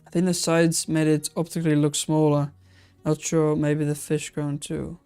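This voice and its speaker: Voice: mid-range voice, little pitch movement, normal speed, dark tone, smooth, faint music behind. A live-stream host chatting casually while reacting to old aquarium videos, thinking aloud with many restarts and answering viewer comments.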